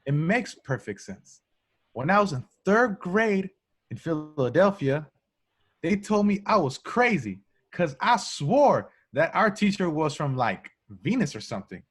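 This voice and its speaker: Husky man playing a bewildered young recruit. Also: a little high, sing-song, unhurried, exaggerated voice. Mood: frank, insistent. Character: candid, bewildered young male agent recruit. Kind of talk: amateur film script table read.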